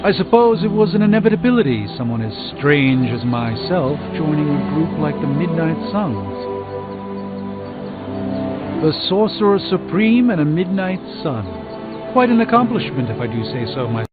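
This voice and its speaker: A man's voice: a little high, sing-song, moderate pace, phone-quality sound. An actor playing a proud, erudite, dryly witty male sorcerer in a video game, speaking in a formal, wordy way. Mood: wry, pleased. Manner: formal, wordy, self-important. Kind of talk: video game character dialogue. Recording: thin band-limited audio under loud music. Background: game music.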